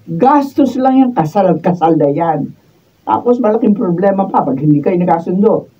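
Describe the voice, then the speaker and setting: An actress playing a cynical older mother with a husky voice, blunt and dismissive, in a Filipino radio drama. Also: medium pitch, animated, normal speed, warm tone. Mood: exasperated, dismissive. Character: nagging, cynical older mother, scornful of weddings. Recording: distant, thin phone-like capture.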